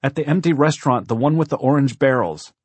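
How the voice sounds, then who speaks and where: A man's voice: medium pitch, lively intonation, fast, darkish tone, slightly rough, no echo, pitched above the performer's own voice. A man voicing a stern, determined but frightened six-year-old girl in an audiobook. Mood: earnest.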